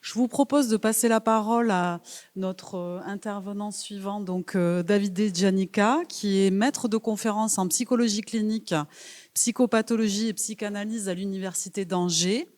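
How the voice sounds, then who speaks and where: A woman's voice: mid-range voice, fairly even intonation, moderate pace, a little mellow, long reverb tail. Woman moderator introducing a speaker, hesitant. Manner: hesitant, with many fillers. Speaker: conference moderator.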